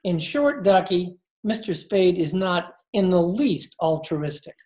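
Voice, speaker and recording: Florid, swooping low-voiced henchman. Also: lively intonation, measured pace, dark tone. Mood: obsequious. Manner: florid, theatrical, over-polite. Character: florid, mock-courtly gangster henchman, smooth-talking. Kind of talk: 1940s radio crime drama acting. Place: home, recorded over video call.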